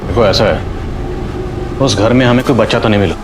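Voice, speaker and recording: A man's voice: fairly low pitch, a little fast, noisy background, close to an everyday voice. An actor playing a stern male police inspector who questions witnesses in a commanding, probing way. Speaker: police officer. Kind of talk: television crime drama acting.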